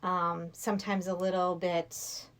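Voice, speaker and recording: A middle-aged woman from Massachusetts talking casually and a little haltingly as a podcast guest. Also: lowish voice, flat delivery. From Massachusetts, USA. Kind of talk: casual chat.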